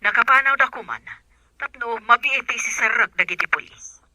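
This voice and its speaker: A high, very sharp-voiced aunt in a radio drama. She is resolute and urgent, handing out instructions in a dry studio sound. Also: moderate pace, no room sound, poor audio. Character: resolute, commanding aunt planning a rescue. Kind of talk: Ilocano radio drama acting.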